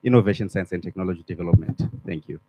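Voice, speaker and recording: Mellow man from Zimbabwe. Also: low voice, rapid-fire, slightly rough. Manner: formal introduction. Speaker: conference delegate.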